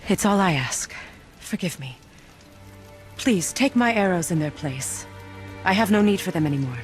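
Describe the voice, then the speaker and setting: A woman's voice: lowish voice, animated, normal speed, soft background music, natural voice. An actress playing a proud, protective witch goddess in a video game, speaking with firm, dry, weary authority. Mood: weary, wary. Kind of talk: cinematic video game acting.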